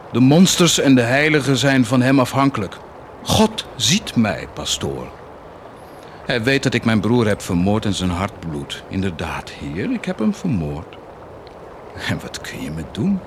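A man's voice: fairly low pitch, slight background noise. An actor playing a cynical, blasphemous army commander who is proud of his wickedness, in a theatrical, declamatory radio drama style with defiant outbursts. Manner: declamatory, defiant, swinging from muted menace to outbursts.

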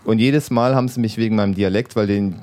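A man's voice: on the low side, fast talker, a little mellow. A man from southern Germany hosting a casual radio show, talking in a laid-back, agreeable way with dry humour. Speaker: host of an internet radio show. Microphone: home studio mic.

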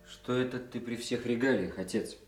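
An actor playing an earnest, respectful young officer son in a Soviet radio drama. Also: male voice, mid-range voice, moderate pace, breathy edge, faint music behind, lightly acted. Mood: quiet, earnest.

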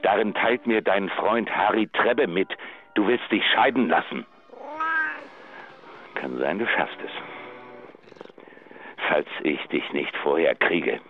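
A man's voice: low voice, slow pace, pauses often. An actor playing a coldly vindictive man leaving a threatening answering-machine message in a German radio drama. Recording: tinny, noisy phone-line recording.

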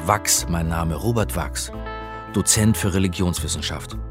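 Low-voiced, bookish male scholar played by an actor. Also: close to an everyday voice. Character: bookish male scholar of religion narrating his story. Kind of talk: radio crime drama, first-person narration.

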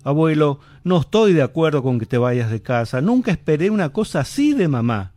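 A man's voice: on the high side, sing-song, a little fast, mellow, no room sound, clean studio sound. A man with an Argentine accent acting as a devoted, affectionate young grandson in a radio drama. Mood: worried. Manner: earnest, affectionate.

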